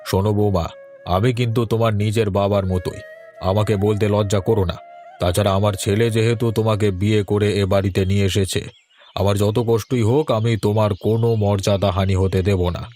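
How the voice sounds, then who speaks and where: A man playing a proud older village patriarch, gruff yet caring, in an audio drama. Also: on the low side, little pitch movement, a little fast, warm tone, soft background music, close to an everyday voice. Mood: kindly. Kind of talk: Bengali audio story drama acting.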